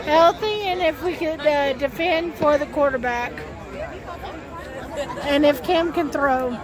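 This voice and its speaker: A woman's voice: high voice, takes it slowly. A woman chatting casually and jokingly as she films a vlog outdoors. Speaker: vlogger filming a road trip. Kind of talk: casual chat. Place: outdoors at a sports training camp. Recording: outdoor crowd noise and vehicles.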